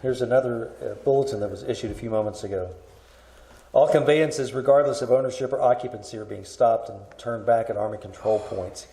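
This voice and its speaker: A man playing a grave radio newscaster who reads emergency bulletins in a formal, measured way. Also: mid-range voice, vocal fry, mellow, natural voice. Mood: stern, official. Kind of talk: radio news bulletin acting. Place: live staged performance at a winery. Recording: roomy live stage performance.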